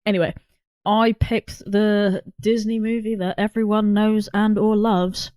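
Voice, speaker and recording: A woman's voice: mid-range voice, little pitch movement, unhurried, darkish tone, no room sound. Casual woman podcaster, close dry sound. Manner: informal, sweary. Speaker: podcast co-host. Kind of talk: casual film discussion.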